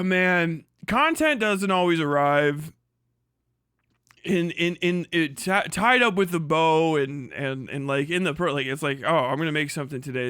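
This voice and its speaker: A man's voice: a little high, fairly bright tone, clear, resonant tone, dry recording, clean studio sound. A man hosting a casual livestream, commenting in a profane, joking way and often stumbling over repeated words. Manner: profane, joking, halting and repetitive in delivery.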